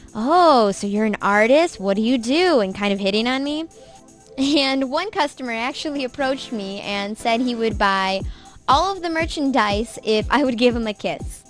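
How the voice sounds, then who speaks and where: A woman telling anecdotes in an interview. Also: on the high side. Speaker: convention helper, interviewee. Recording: music under interview.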